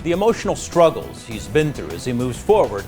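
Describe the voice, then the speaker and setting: A man's voice: mid-range voice, big pitch swings, fairly quick, over music. A man with an American accent reading scripted broadcast news as an anchor.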